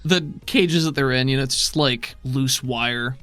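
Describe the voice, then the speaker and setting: A man's voice: mid-range voice, measured pace, fairly bright tone, faint music behind. A man working as game master, improvising narration for a tabletop role-playing game.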